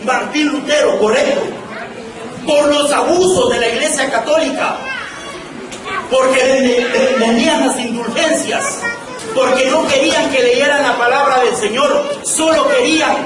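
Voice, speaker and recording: A man from Guatemala preaching fiercely and accusingly as he confronts a speaker at a public event. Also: very high-pitched. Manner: fiery, accusing, often shouting. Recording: distant, roomy, noisy live recording.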